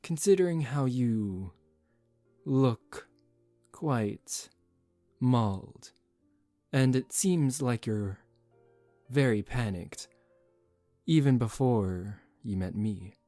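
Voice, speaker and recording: A man voicing a suave, condescending young male vampire in a soft-spoken ASMR roleplay, calm and teasing. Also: mid-range voice, drawn-out words, pauses often, no room sound. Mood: coolly amused. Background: relaxing background music.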